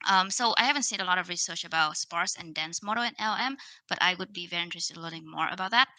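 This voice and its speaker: A woman in her thirties with a Vietnamese accent gives a conference talk from a video call. Her voice is very bright and sounds dry and close. She speaks loosely, full of fillers and restarts. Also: lowish voice, fast, dry recording, low-quality recording. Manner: casual, many fillers and restarts.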